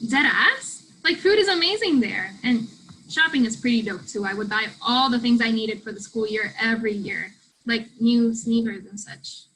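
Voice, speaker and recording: A woman's voice: on the high side, expressive, normal speed, fairly bright tone, slight background noise, low-quality recording. A woman in a play reading playing a blunt young South American student who talks in a casual, slangy, sweary ramble. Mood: chatty, rambling. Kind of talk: play reading, naturalistic conversational acting.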